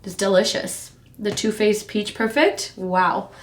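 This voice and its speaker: A woman's voice: medium pitch, fairly even intonation, measured pace. A woman in her twenties from Canada, chatting casually and rambling with lots of fillers while doing her makeup for a vlog. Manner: rambling, filler-heavy with frequent "like". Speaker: beauty vlogger. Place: home room.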